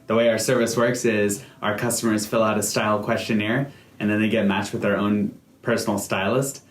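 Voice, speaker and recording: An earnest American man speaks in a low voice, telling his story as a founder in a testimonial. His talk is padded with plenty of "uh" and "um" fillers. The room around him is echoey. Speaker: company founder giving a customer testimonial. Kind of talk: testimonial to camera, semi-prepared speech.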